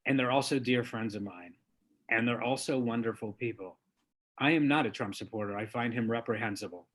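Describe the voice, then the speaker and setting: A man being interviewed as an author, lawyer and diversity trainer, speaking in an earnest, measured and emphatic way. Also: mid-range voice, fairly bright tone. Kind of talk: interview guest, conversational.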